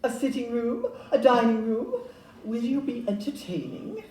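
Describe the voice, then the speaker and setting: Roomy, distant recording. Actress as young Victorian house agent: polite, brisk questioner, lively mellow voice. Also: female voice, on the high side. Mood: businesslike, curious. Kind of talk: stage audio-play acting. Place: live performance in a club room. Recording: distant roomy live recording, faint noise.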